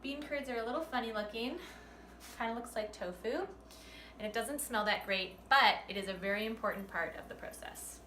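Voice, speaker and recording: A woman's voice: fairly high pitch, fairly quick, sharp tone, reverberant. A woman talking casually as an amateur vlogger, walking viewers through a home cooking demonstration. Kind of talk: casual chatty vlog narration and cooking demonstration. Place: on location at shops and a home kitchen. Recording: noisy amateur recording with kitchen clatter.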